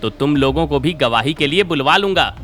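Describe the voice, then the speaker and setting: A man's voice: fairly high pitch, animated, fairly quick, a bit bright, faint music behind. A man playing a scheming, indignant rival street-food seller in a cartoon story, goading and exclamatory. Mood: confident.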